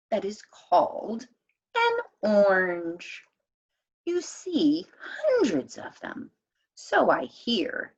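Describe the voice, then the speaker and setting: A woman's voice: mid-range voice, muffled recording, exaggerated voice. Woman as a kindly knowing songbird, very lilting. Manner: gently explaining and reassuring. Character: kindly, knowing mistle thrush bird.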